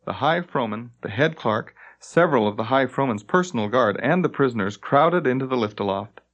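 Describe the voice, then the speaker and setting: A man's voice: mid-range voice, lively intonation, a little fast, a bit bright, muffled recording. A man reading scripted fantasy narration as an audiobook narrator.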